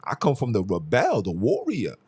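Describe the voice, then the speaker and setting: A man's voice: lowish voice, wildly swooping pitch, normal speed, a little mellow, husky. A young man hosting a solo podcast, talking in a heated, emphatic way and often repeating his phrases. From Haiti. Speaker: podcast host. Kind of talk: casual solo commentary.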